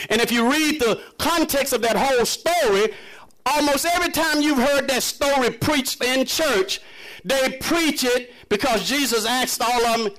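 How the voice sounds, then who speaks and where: A man's voice: very high voice, fairly bright tone, low-quality recording. A man preaching a sermon in an emphatic, conversational and challenging manner, full of rhetorical questions. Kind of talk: impassioned sermon to a congregation.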